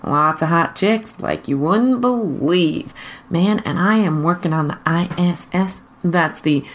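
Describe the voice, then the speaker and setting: A woman's voice: on the low side, unhurried, lightly acted. Woman as sly eager male engineer, brazen, pushy, storybook dialogue. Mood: eager, boastful.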